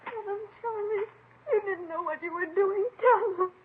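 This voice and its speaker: A woman's voice: very high-pitched, normal speed. An actress playing a distraught, pleading wife of a wanted man in a melodramatic 1950s radio drama. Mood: tearful pleading. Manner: pleading, overwrought. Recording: muffled, faintly noisy old radio recording.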